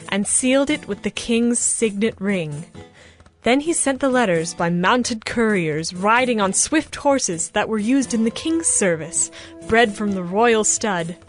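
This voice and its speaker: High-voiced woman narrating scripture. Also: no echo, clean studio sound. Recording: music behind the voice.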